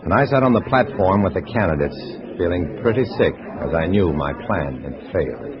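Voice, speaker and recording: Casting: brooding undercover spy hero, deep clipped narration, old radio drama, dull sound under prominent music. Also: male voice, expressive, fairly quick. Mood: tense, brooding. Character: undercover agent posing as a communist, weary, guarded narrator hero. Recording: dull vintage radio recording, loud music.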